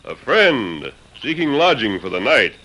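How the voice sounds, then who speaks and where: An actor playing a stern, guarded masked hero in an old-time radio western, speaking in terse, authoritative phrases. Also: male voice, mid-range voice, very animated, a little slow, dull audio. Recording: noisy, clicking vintage radio recording.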